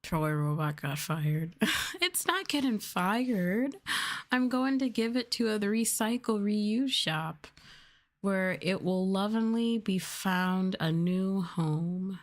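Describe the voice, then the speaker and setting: A woman chatting softly as an ASMR livestream host, sometimes trailing off toward a whisper. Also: medium pitch, lively intonation, slow pace. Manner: softly spoken, now and then trailing into whispers. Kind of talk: soft-spoken ASMR livestream chat.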